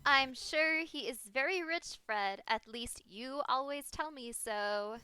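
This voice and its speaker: A woman's voice: sits high, takes it slowly, pushed and bright, smooth, full character voice. A woman playing a disapproving young wife in a radio play.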